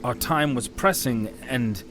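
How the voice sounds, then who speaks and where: A man's voice: medium pitch, a little slow, close to an everyday voice. A man playing an earnest, caring commander in a fantasy audio drama, with music behind him. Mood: sincere, caring.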